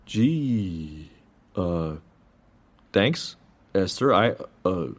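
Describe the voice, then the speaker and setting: An actor narrating as an earnest young student, telling his story in an earnest, wry and lively way. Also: mid-range voice, normal speed, dry recording, natural voice. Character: earnest young Japanese American male student. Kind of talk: one-man stage play narration.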